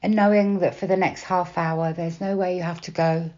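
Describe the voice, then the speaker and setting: Low-voiced woman guiding meditation, slightly muffled. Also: steady tone. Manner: slow, soft, unhurried with long pauses. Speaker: meditation guide. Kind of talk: guided body scan meditation, gentle instruction.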